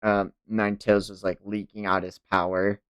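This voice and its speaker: A man's voice: lowish voice, little pitch movement, normal speed, fairly bright tone, clear, resonant tone, dry recording. A man hosting a manga read-along, mixing narration with enthusiastic, casual fan commentary. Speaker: host reading a manga aloud on livestream.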